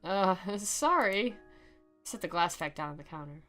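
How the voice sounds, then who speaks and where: Sarcastic woman narrator with a bright voice. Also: on the low side, lively intonation, a little slow, faint music behind. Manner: sarcastic, theatrical. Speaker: narrator and commentator. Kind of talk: sarcastic dramatic reading with casual commentary.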